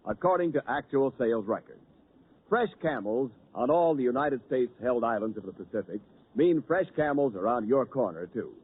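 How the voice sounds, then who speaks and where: A man reading a radio commercial, smooth and emphatic. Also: a little high, slight background noise. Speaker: announcer. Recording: lo-fi 1940s radio broadcast.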